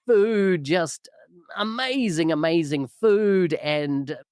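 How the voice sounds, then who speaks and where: Upbeat New podcast host. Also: male voice, on the high side, slow pace, clean tone, dry recording, clean studio sound. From New Zealand. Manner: enthusiastic, warmly praising. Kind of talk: podcast interview.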